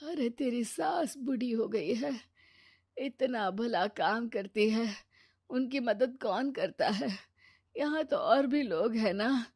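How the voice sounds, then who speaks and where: A slow, plaintive sickbed brother as a woman acts him from a cartoon story. The speech is drawn out and frail in character, close and dry in sound. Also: on the high side, a little mellow, breathy edge, no echo, full character voice. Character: ailing, plaintive brother of a young wife. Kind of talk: Hindi cartoon storytelling dub.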